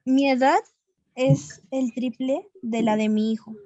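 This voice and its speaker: A woman student answering her tutor and reading a problem aloud slowly and hesitantly. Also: high-pitched, steady tone, warm tone, dull audio.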